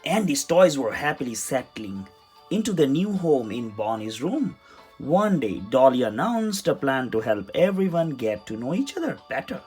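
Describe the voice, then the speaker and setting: Children's read-aloud, lively swooping intonation, slightly husky voice, dramatic delivery, soft music underneath. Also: mid-range voice, normal speed. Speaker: storybook reader.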